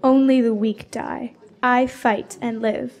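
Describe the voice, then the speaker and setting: Woman doing a proud young warrior girl, bit high voiced, blunt and defiant, fantasy audio drama. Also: steady tone, slow pace, a little mellow. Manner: bold, boastful, declarative. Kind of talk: fantasy radio drama acting.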